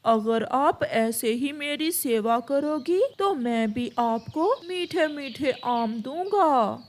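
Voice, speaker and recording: A dried-up old mango tree speaks softly and kindly in a cartoon, as a woman voices it. The delivery is slow, with a lilting, lively rise and fall. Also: high voice. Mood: grateful.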